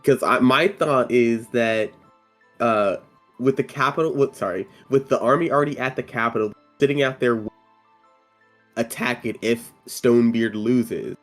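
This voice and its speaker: A man playing a tabletop game, working through the group's strategy out loud with a lot of hesitation. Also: mid-range voice, a little slow, soft background music. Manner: hesitant, thinks aloud with fillers and restarts. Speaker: player. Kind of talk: casual chat at a tabletop game.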